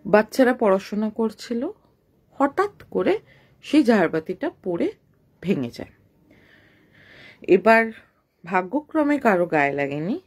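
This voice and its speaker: A woman's voice: mid-range voice, a little mellow, slightly rough. A woman telling a ghost story in an unscripted, conversational way, explaining and rephrasing as she goes, with English words mixed in.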